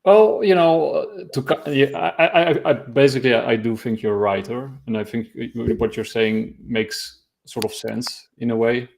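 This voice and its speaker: A man hosts a casual podcast chat in a gravelly voice. He is hesitant and often restarts his phrases. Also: medium pitch, moderate pace, hardly any pauses, a little mellow. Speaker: host.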